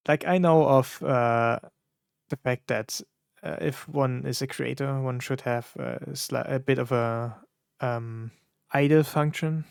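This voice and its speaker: Casting: German-native man, reaction creator, gravelly tone, halting delivery, dry close sound. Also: mid-range voice, dry recording. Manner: halting, many fillers and restarts, searching for words. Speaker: content creator reacting to songs. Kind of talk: casual reaction commentary.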